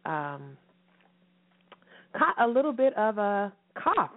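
A woman hosting a radio show in a lively, chatty way, her voice slightly hoarse and raspy from a recent cough. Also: mid-range voice, takes it slowly. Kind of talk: casual radio chat. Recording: phone-line call-in radio.

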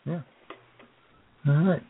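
A man co-hosting a radio show, chatting casually with a relaxed, amused manner. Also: slow. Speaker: co-host. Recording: tinny phone-like audio.

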